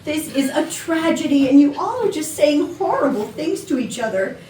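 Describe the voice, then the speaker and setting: A woman's voice: sits high, very animated, normal speed, dark tone. A woman playing a sentimental, reproachful office peacemaker in a staged reading of a comedy. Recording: echoey, distant room, faint noise.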